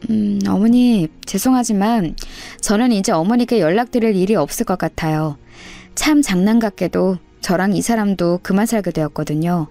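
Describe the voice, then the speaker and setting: A woman's voice: medium pitch, moderate pace, warm tone, soft background music, natural voice. A woman voicing a betrayed wife, emphatic and cutting, in a dramatized audio story. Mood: cold resolve. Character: bitter, betrayed wife confronting her in-laws.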